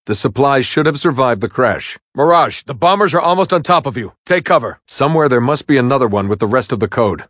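Wry military commander, dry recording. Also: male voice, mid-range voice, lively intonation, fairly quick, few pauses, fairly bright tone, no echo. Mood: dry, businesslike. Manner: dry sarcasm, conversational orders. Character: wry male military commander guiding a soldier. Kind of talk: video game mission dialogue.

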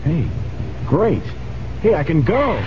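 An actor playing an anxious, hurried male commuter muttering to himself in a 1970s radio spot. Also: fairly high pitch, big pitch swings. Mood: fretful, relieved. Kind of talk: 1970s radio public-service spot acting. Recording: muffled radio spot with traffic noise.

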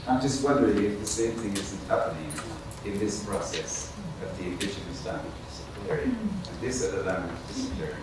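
Deep-voiced man asking from the audience. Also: expressive, a little fast, hardly any pauses. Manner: musing, searching for words. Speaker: audience member or moderator asking questions. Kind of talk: questions in a panel discussion. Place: lecture hall, seated in audience. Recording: distant echoey hall with background noise.

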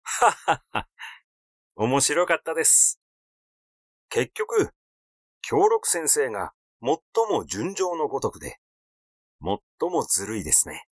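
A flippant young gossip, as a male reader plays him, teasing and sardonic in storybook dialogue. His pitch swings about freely, and the sound is dry. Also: very animated, slow pace, pauses often, dry recording. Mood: amused, glib. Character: flippant, gossiping young man, mocking and glib. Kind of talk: acted dialogue in an audiobook.

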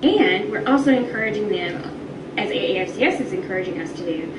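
A woman's voice: mid-range voice, lively intonation, quick pace. A woman teacher explaining things in interview answers. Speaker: middle school teacher. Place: classroom, replayed on a projection screen. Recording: distant echoey re-recorded playback, noisy room.

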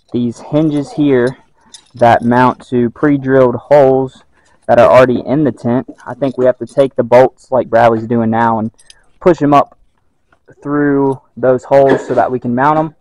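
A man calmly explaining how to mount a rooftop tent, step by step. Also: mid-range voice, unhurried, no room sound, poor audio. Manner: calm, methodical.

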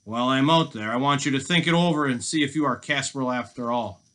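Man playing a jeering, forceful storybook robber. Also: mid-range voice, quick pace, pitched above the performer's own voice, natural voice. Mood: gloating. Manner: mock-sweet taunting, emphatic. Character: jeering boastful male robber villain, gloating and bullying. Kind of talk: children's storybook voice acting.

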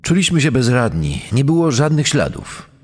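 A man acting a troubled cop, lively pitch, reverberant. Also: moderate pace, husky. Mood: helpless. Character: troubled male police officer recalling a murder scene. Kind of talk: dramatized witness testimony. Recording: long hall-like reverb.

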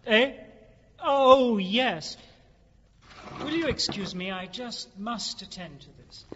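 A genial middle-aged English gentleman, high-pitched and slow-spoken, with a British accent. He is effusive and hearty, in a radio play with long reverb. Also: animated. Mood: flustered. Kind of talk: audio drama acting. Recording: dull, reverberant, faintly noisy recording.

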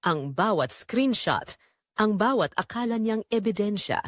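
A Filipino woman narrates a dramatic story with lively, swinging intonation. She phrases it in short, measured pieces that build suspense. The sound is dry and close, with no room around her. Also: medium pitch, dry recording.

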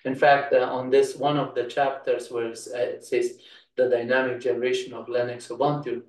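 A middle-aged man from Afghanistan, a native Persian speaker with an Afghan accent, giving an informal, halting and meandering tutorial talk. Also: medium pitch, flat delivery. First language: Persian (Farsi). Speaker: computer science instructor. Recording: roomy echo, distant microphone.

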